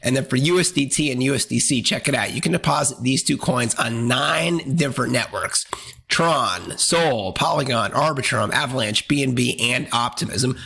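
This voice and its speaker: A man hosting a casual step-by-step tutorial, plain-spoken, with a hoarse, congested voice from a cold. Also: mid-range voice, normal speed, sharp tone. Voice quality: raspy, congested. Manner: plain-spoken, instructional, colloquial. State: hoarse, losing his voice from a cold. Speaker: host of a crypto exchange tutorial channel.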